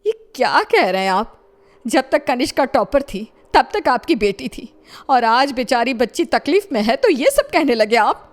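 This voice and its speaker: A woman playing an anxious, doting mother, fretful and pleading in an animated story with music behind. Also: sits high, moderate pace. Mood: indignant.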